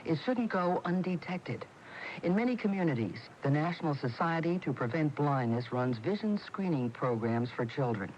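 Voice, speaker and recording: A man's voice: fairly high pitch, animated, moderate pace, darkish tone. A man with an American accent reading a scripted public service announcement on the radio. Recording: muffled AM radio with faint noise.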